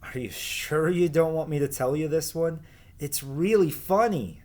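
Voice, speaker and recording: A man voicing a boisterous, joke-loving schoolboy in a storybook, with a pushy, excitable manner. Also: on the high side, a little slow, pitched above the performer's own voice, natural voice.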